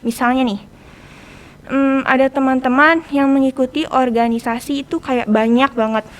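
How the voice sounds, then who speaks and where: A woman's voice: sits high, steady tone, normal speed. A teenage young woman with a Jakarta Indonesian accent, explaining things in a casual conversational style as a podcast guest. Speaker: podcast guest, student organization leader.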